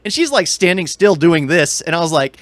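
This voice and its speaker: A man's voice: on the high side, very animated, fairly quick, fairly bright tone, dry recording. A man co-hosting a podcast in casual movie chat, enthusiastic about details and often repeating and rephrasing himself. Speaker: podcast co-host.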